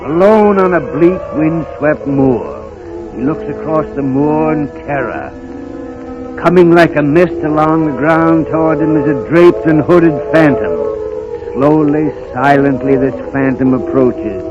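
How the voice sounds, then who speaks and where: A man narrating a 1930s radio drama in a deliberate, suspenseful manner, on a muffled old broadcast. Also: fairly high pitch, music in the background. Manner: slow, hushed suspense, deliberate pauses.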